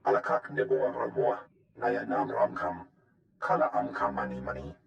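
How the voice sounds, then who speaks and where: A man voicing a gruff, guttural alien creature speaking an invented tongue in a video game. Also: lowish voice, very animated, moderate pace, full character voice. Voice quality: guttural, gravelly. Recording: dull muffled echoing game audio.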